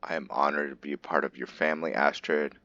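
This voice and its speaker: Very deep-voiced gamer musing aloud. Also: male voice, steady tone, fast, bright, forceful tone, lo-fi sound, slight background noise. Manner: thinking aloud, musing and self-correcting. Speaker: gamer narrating a roleplay playthrough. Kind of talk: casual let's-play commentary.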